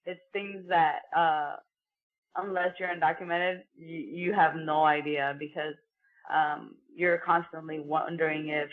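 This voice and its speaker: This is a woman from Mexico, a professional boxer, speaking as a guest in a relaxed interview. She keeps a level, earnest tone and scatters "you know" through her answers. Her voice comes over a narrow, tinny remote line, as if on a phone call. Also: low-pitched. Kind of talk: casual interview conversation.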